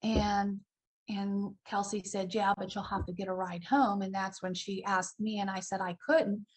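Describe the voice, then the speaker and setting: A woman's voice: medium pitch, fairly even intonation, moderate pace, husky, rough recording. A middle-aged or older woman recalling events conversationally in a news interview. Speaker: interviewee, relative of a victim.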